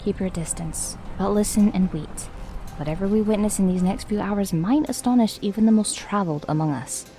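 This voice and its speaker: A woman acts a gentle, wise ranger in a fantasy audio drama. Her voice is mellow and medium in pitch, seeming young yet ancient. She gives counsel in measured, formal phrases. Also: slight background noise, natural voice. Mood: earnest, cautioning.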